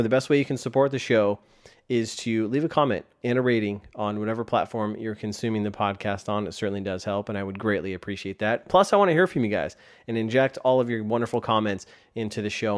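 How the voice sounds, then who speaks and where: A man's voice: on the low side, fast talker. Man podcaster with a sore, tired throat; casual rambling host delivery into a desk mic. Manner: rambling with frequent restarts. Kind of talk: casual solo podcast talk. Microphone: desk microphone.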